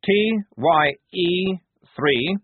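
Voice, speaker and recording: A man voicing a pompous, fussy young army lieutenant with a prim, self-important, high-strung manner. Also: lively intonation, extremely slow, slightly rough, dry recording, full character voice. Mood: fussy, commanding. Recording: tinny phone-like dry sound.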